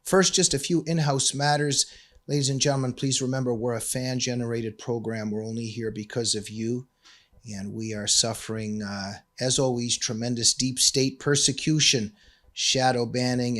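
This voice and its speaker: A man hosting a talk show, speaking emphatically in deliberate, drawn-out phrases. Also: mid-range voice, a bit bright. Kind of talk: scripted intro and interview talk.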